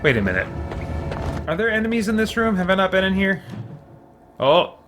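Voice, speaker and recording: A man's voice: high-pitched, big pitch swings, normal speed, music in the background. A man chatting casually on a live stream while playing a game, with a playful, folksy manner. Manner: playful, mild folksy exclamations. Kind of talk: casual live-stream chat and gameplay commentary.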